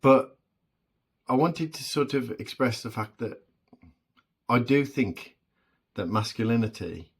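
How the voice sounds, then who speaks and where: A man in his forties with a deep voice, talking alone in a halting, reflective way with many pauses and restarts. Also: animated, slightly rough. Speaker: vlogger. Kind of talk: solo reflective monologue.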